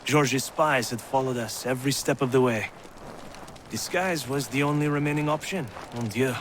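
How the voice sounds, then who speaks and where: An actor playing a proud, genial young French officer in a video game, with a French accent and an effusive storytelling manner. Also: male voice, medium pitch, moderate pace, fairly bright tone, lightly acted. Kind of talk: dramatic video game cutscene acting. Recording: clear background ambience noise.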